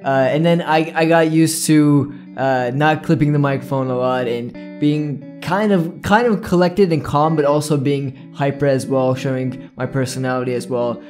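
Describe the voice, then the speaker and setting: A man's voice: a little high, steady tone, moderate pace, hardly any pauses, a little mellow, soft background music. A young man chatting casually to his viewers in a rambling way, often repeating himself. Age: young adult. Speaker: video creator, gaming YouTuber. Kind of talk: casual chat to camera. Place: small indoor room with plain backdrop.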